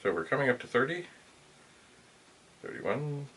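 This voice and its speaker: A middle-aged man giving a casual hands-on demonstration, musing to himself in a halting way. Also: medium pitch, very animated, a bit bright. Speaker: vintage computer hobbyist and video host. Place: cluttered indoor home room. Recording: clear background noise, equipment clicks.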